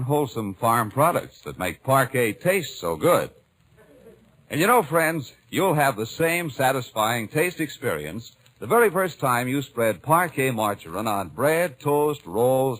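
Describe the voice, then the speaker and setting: A man's voice: mid-range voice, sing-song, measured pace, fairly bright tone, low-quality recording. A man with an American accent announcing on an old radio show, reading sponsor copy and scripted narration. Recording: old radio broadcast, faint music behind.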